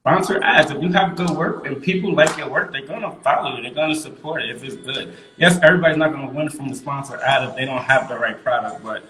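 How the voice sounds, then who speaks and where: A man's voice: medium pitch, fast talker, hardly any pauses, pushed and bright, echoey room, rough recording. A man from Queens, a guest artist talking casually and emphatically, repeating himself and using street slang. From Queens, New York. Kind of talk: casual interview chat.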